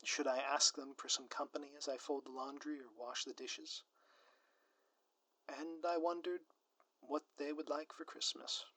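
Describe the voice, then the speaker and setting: A man's voice: on the high side, steady tone, unhurried, a bit bright, dry recording, low-quality recording. A man acting a scheming, secretly lovestruck supervillain in an ASMR audio roleplay, speaking to the listener in grandiloquent, florid phrases. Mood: tender, hesitant. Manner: grandiloquent, theatrical, florid formal wording. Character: theatrical male supervillain, scheming, secretly lovestruck doting spouse. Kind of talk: scripted ASMR roleplay, direct address to listener.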